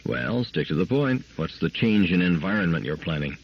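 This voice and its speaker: A man's voice: deep voice, sing-song, normal speed, breathy edge, dull audio, heavily acted. A man reading a story aloud, voicing a weary husband who grumbles and mutters his complaints. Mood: grumbling.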